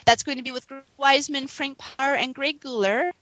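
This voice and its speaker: A woman co-hosting a casual fan podcast, excited and giggly, with many ums between thoughts. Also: high voice, moderate pace, no echo, dull audio. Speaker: podcast co-host.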